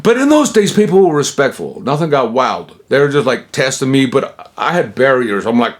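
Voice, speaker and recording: A man's voice: medium pitch, big pitch swings, normal speed. Man, 60s, from Utah. Rambling vlogger-storyteller, self-interrupting, chuckly, slangy. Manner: tosses in slangy asides.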